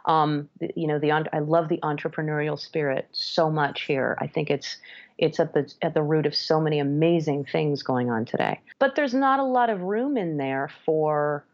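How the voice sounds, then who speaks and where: A woman's voice: low-pitched, moderate pace, dull audio. A woman who grew up in France, a jazz band leader, talking in a podcast interview and restarting her sentences as she thinks aloud. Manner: thoughtful, many restarts and self-corrections.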